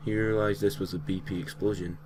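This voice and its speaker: A man reading a creepy story aloud as an amateur narrator, sometimes tripping over his words and correcting himself. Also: lowish voice. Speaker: host reading creepypasta stories. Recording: clearly noisy home recording.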